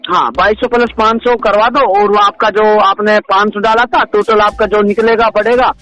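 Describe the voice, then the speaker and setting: A man's voice: sits high, steady tone, normal speed, bright, forceful tone, faint music behind. A man talking informally on a recorded phone call, insistent and repetitive, often addressing the listener as sir. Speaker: caller posing as an army seller. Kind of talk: informal phone sales talk.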